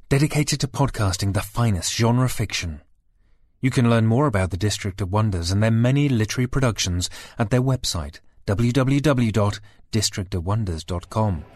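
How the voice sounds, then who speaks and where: A man reading a scripted network credit and web address in a measured, formal way. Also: fairly low pitch, lively intonation, a little mellow, no room sound, studio quality. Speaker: network announcer. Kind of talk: scripted promo read.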